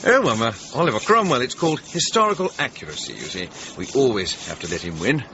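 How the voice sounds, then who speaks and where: A fussy, emotionally buttoned-up English gentleman in a radio drama. His mid-pitched voice is animated yet proper and hesitant, with noticeable background noise. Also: fast. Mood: dry, amiable. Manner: hesitant, proper, slightly pompous. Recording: slightly dull, clearly noisy background.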